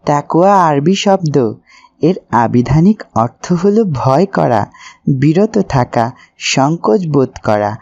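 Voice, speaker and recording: A devout young cartoon character voiced by an actor teaches in a slow, mellow voice. The delivery is earnest and preachy, with measured explanations. The sound is slightly dull. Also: mid-range voice. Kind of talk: scripted Islamic cartoon dialogue.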